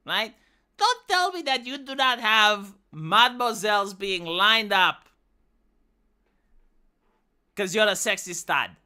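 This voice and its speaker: A woman's voice: a little high, sharp tone. A woman streamer with a Romanian accent, English not her first language, ranting profanely with many restarts. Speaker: live streamer. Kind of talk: ranting live-stream commentary.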